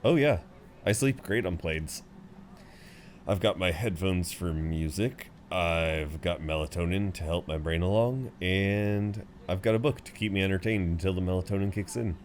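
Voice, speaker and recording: A man's voice: low-pitched, normal speed, slight background noise, natural voice. A man playing a chatty, good-humoured frequent flyer who rambles kindly to a seatmate, in an intimate roleplay audio. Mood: chatty, amused. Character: chatty, friendly tall male frequent flyer comforting seatmate. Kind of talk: intimate roleplay audio acting, one side of conversation.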